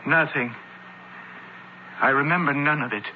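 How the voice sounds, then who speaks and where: A man's voice: a little high, a little slow, natural voice. Guy acting as earnest roman era fugitive in an old radio drama, muffled, music blaring behind. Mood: grim recounting. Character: accused young Roman-era Athenian man, bewildered fugitive. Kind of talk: radio drama acting and first-person narration. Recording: dull radio recording with loud music behind.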